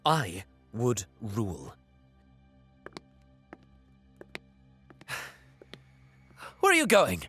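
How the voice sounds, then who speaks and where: A man's voice: on the low side, wildly swooping pitch, measured pace, a bit bright, husky, soft background music, exaggerated voice. A man playing a bitter, scheming young alien prince in an audio drama, with theatrical, disdainful delivery that savors cruelty. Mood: furious. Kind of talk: dramatic first-person narration and dialogue, audio drama.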